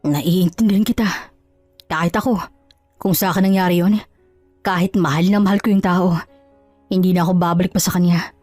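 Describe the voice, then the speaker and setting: A woman's voice: fairly low pitch, fairly even intonation, fairly quick, long pauses, a little mellow, no room sound, soft background music, close to an everyday voice. A woman voicing a concerned male friend in an audio drama, sympathetic and reassuring. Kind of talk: Tagalog audio drama acting.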